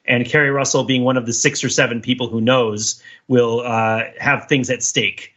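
A man's voice: medium pitch, steady tone, normal speed, bright, forceful tone, muffled recording. A man hosting a podcast, giving casual but analytical commentary on a TV show in long, emphatic, speculative stretches. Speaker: podcast host.

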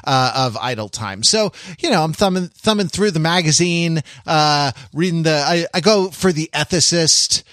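A man chats casually as a podcast co-host, his voice sitting a little higher than most. He rambles and halts, looping back over his own phrases. The sound is very dry, with no room around it. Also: lively intonation, a bit bright, no room sound. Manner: rambling, halting, circles back and repeats phrases.

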